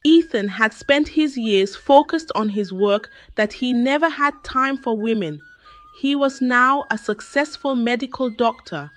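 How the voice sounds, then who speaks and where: Female narrator, higher-pitched, very slow pace, measured dramatic delivery, scripted folktale storytelling.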